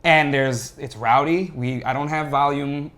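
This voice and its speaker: A man chatting casually as a podcast guest, telling stories in a rambling, animated way about his hobby. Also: on the high side, measured pace. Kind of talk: casual chat.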